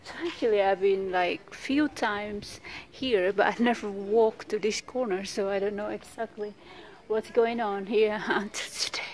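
A woman filming herself on a walk outdoors, talking at an unhurried, drawn-out pace in a mid-range voice. She chats along with lots of fillers and little laughs, sounding a bit tired from the heat. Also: medium pitch, steady tone, low-quality recording. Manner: chatty, laughs often, many fillers. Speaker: vlogger. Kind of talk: casual walking tour narration. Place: outdoors at a beach park with trees.

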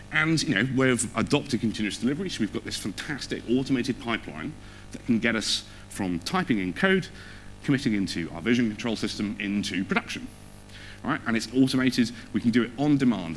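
Low-voiced man presenting, lively pitch swings. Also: poor audio. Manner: informal, conversational, with rhetorical questions and check-ins to the audience. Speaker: conference speaker. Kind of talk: technical conference presentation. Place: on stage in a theatre or auditorium.

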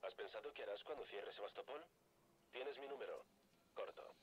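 An actor voicing a shady man who pitches a secret deal over the radio in a game. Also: moderate pace, slight background noise, close to an everyday voice. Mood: persuasive. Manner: persuasive, conspiratorial. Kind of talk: game dub dialogue.

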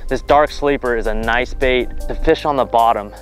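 A man's voice: medium pitch, normal speed, soft background music. A man in his thirties giving a casual fishing tutorial on a boat outdoors, talking with easy enthusiasm and plenty of "you know" fillers. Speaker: pro bass angler.

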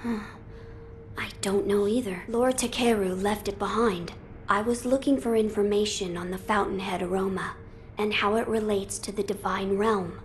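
A woman playing a young noble boy lord in a video game, formal, measured and pensive. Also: mid-range voice, steady tone, a little hiss or hum, close to an everyday voice. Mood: grave, pensive.

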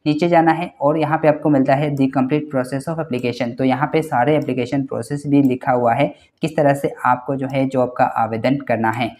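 A young man hosting a job-vacancy channel, walking viewers through a listing on screen in a quick, repetitive explainer style, often addressing them as guys. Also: mid-range voice, fairly even intonation, darkish tone. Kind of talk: screen-share walkthrough explainer.

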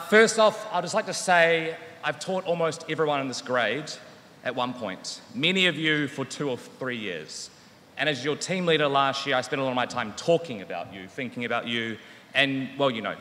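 A man's voice: medium pitch, expressive, normal speed, pushed and bright, a little hiss or hum. A man in his thirties with a New Zealand accent, a teacher giving a wry, earnest graduation speech at a podium microphone in a large hall. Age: 30s. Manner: wry, self-deprecating, earnest. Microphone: podium microphone on stand. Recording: long hall reverb.

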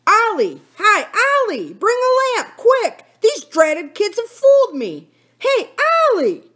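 A blustering male crook from an adventure storybook, as a woman reader puts him on. He is rough and commanding. His words come out as forceful barks. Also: mid-range voice, very animated, moderate pace. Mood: furious.

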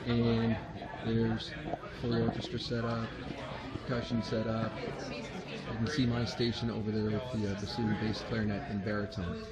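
A man in his fifties filming a casual vlog from his car and a rehearsal hall, talking with lots of filler words. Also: lowish voice. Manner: chatty, many "uh" fillers. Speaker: woodwind doubler vlogger. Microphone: handheld camera at arm's length.

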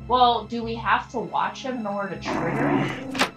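Female let's-play co-host, congested, chatty and excitable, over loud game music. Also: a little high, moderate pace. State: stuffed up. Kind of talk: casual gaming chat.

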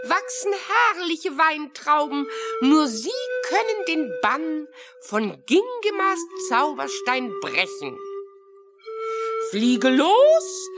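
A woman playing an excitable talking crow in a children's audio play, speaking deliberately and theatrically with caws. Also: sits high, full character voice. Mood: solemn, proud. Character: excitable talking female crow, messenger and friend.

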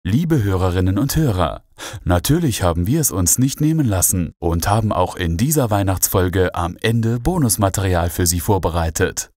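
A man reading a scripted announcement as an audio drama announcer, formal and clearly articulated. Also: deep voice, lively intonation, moderate pace, darkish tone, no echo, studio quality. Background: music.

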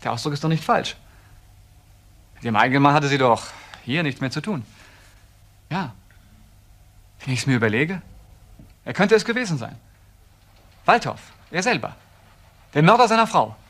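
A man's voice: fairly high pitch, animated, normal speed, long pauses, a bit bright. An actor playing a defiant, accusatory young man defending his brother in a German crime radio drama. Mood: defiant, accusing.

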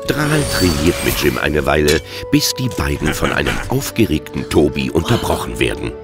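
A man's voice: a bass voice, sing-song, normal speed, over loud music. A man narrating a children's audio drama as a scripted storyteller.

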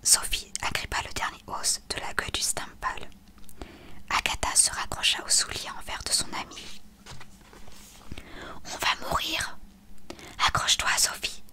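A woman reading a story aloud as scripted narration, expressive and hushed. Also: moderate pace, whispered. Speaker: audiobook reader.

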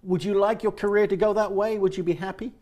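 A man's voice: high-pitched, fast talker. A man interviewing a guest in a chatty, talkative way, often restarting his sentences. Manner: talkative, animated, frequent restarts. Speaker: interviewer and director.

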